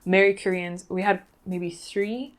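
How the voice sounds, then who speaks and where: A woman being interviewed, recounting cases conversationally in a thoughtful, halting way. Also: fairly low pitch, steady tone. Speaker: interview guest.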